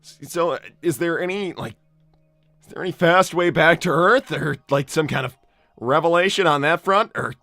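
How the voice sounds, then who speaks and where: Man voicing Sonic, a bright, anxious young hedgehog hero. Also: fairly high pitch, normal speed, vocal fry, dry recording, faint music behind. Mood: dejected, worried. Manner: stammering, rambling, repeating himself. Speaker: live improv role-play host. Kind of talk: improvised in-character livestream, reading viewer messages.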